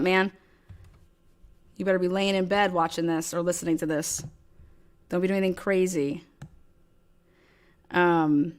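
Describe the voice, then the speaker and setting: A woman hosting a casual livestream rant, speaking in a blunt, combative, profane way. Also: on the low side. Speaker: livestream host.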